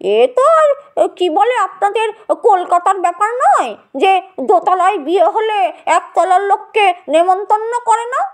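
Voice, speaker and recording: A woman voicing a genial, know-all elderly village gentleman in an audio story, chatty and persuasive. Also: very high-pitched, normal speed, voice pushed up. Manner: calm, chatty, knowing, persuasive.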